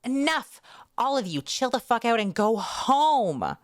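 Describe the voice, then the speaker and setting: A woman's voice: a little high, sing-song, a little slow, dry recording, voice pushed up. A blunt teenage girl from a light novel, acted by a woman reader. She is curt, sardonic and easily annoyed, speaking with no-nonsense authority in a dry, close sound. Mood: snapping.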